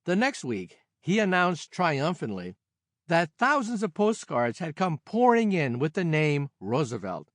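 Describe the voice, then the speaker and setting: Man, scripted audiobook narration, slightly high voice, animated intonation, measured emphasis, dry close studio sound. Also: no echo. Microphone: close studio microphone, dry sound.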